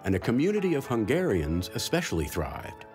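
Lively male documentary narrator. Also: medium pitch, a little fast. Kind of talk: scripted narration. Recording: studio voice-over over music.

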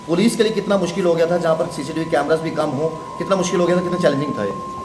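Sex: man. Role: reporter. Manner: quick, pressing. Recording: distant, roomy, noisy. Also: fairly high pitch. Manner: repetitive, pressing questioning. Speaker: reporter asking a question. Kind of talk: press conference question.

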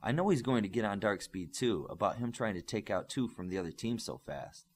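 A man's voice: lowish voice, brisk, slight background noise, natural voice. A man voicing an earnest, agreeable young superhero teammate in an audiobook, explaining things sincerely.

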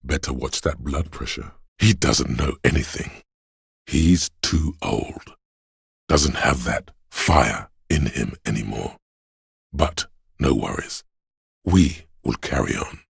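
A man voicing the grim inner voice of a body's endurance in a video game, raspy and gravelly, narrating slowly and ominously in the second person. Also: a bass voice, lively intonation, no room sound, clean studio sound, heavily acted. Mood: grave, resolute. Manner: slow, weighty, ominous.

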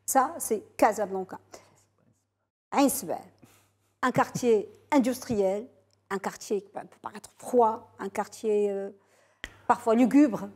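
Middle-aged woman interviewer, mellow voice. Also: mid-range voice, takes it slowly, long pauses. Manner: composed, leading questions. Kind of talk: TV studio interview. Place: TV studio. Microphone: lapel.

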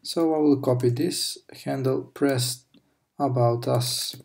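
A man narrates a programming tutorial while working on screen, picking his words slowly and correcting himself as he goes. His voice is dark and mellow with a gravelly edge. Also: mid-range voice, fairly even intonation. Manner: slow, halting explanation with fillers and self-corrections. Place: at a desk, recorded over screen capture.

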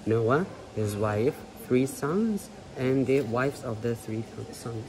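A man in his thirties guiding visitors, explaining in simple, halting non-native English. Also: mid-range voice, expressive, a little mellow. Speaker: tour guide. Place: large church interior. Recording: noisy ambience inside a church.

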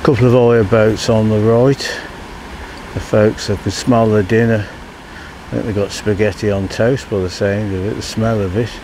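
A man's voice: lowish voice, steady tone, normal speed, darkish tone, slight background noise, low-quality recording. An older English man chatting casually as he rides along a canal towpath, filming for his own vlog. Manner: chatty, keeps saying folks and follow me. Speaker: vlogger. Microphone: cabled mic while riding.

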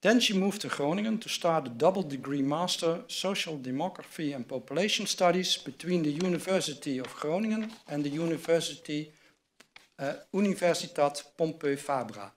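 A man's voice: fairly high pitch, slight background noise. An older man in a large wood-panelled hall, reading formal award citations from notes at a lectern microphone. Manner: measured, reads from a script at a lectern. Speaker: award presenter at a university ceremony. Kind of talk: formal ceremony address read from notes.

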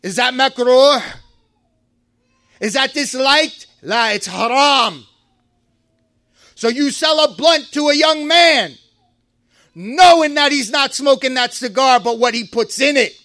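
High-voiced man preaching with sharp force. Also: slow, long pauses, no echo, studio quality. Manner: impassioned preaching, rising to shouts, quotes Arabic verses. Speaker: imam giving a Friday sermon. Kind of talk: sermon. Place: mosque.

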